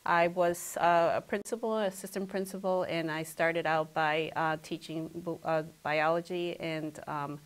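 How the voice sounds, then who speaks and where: A woman in her fifties speaks as an interview guest. Her voice is a touch low for a woman. She answers conversationally, with a halting, hesitant delivery. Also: steady tone, clear, resonant tone, low-quality recording. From born in Ecuador, raised in Massachusetts from age eight. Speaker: school principal, interview guest.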